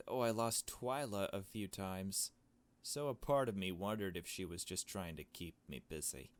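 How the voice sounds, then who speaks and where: A man doing a let's play, reading a visual novel aloud and adding casual, blunt asides. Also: deep voice. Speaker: gaming video host.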